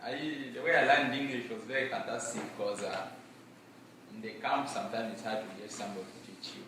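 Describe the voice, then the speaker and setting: Soft-spoken young man, Mashi native. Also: on the low side, steady tone, a little hiss or hum. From Democratic Republic of Congo. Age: young adult. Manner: earnest, measured, with frequent fillers. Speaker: student presenter. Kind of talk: personal testimony and Q&A. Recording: echoey room, far mic.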